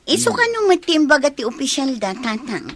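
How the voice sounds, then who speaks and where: A woman's voice: high-pitched, sing-song, fairly quick, slight background noise. A woman playing a calculating, gold-digging young daughter in a radio comedy drama. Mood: scheming.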